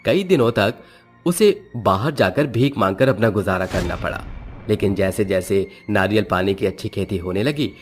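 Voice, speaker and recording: A man's voice: fairly low pitch, moderate pace. A man narrating a scripted moral story as a storyteller. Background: background music.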